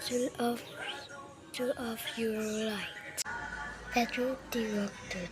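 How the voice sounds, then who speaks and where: A woman's voice: on the high side, fairly even intonation, takes it slowly, over music. A woman reading a scripted emergency broadcast alert in short, stilted, repetitive phrases.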